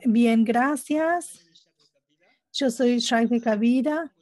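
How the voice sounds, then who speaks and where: A woman giving live interpretation at a webinar, speaking haltingly phrase by phrase. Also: a little high, darkish tone, no room sound. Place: remote online conference audio channel.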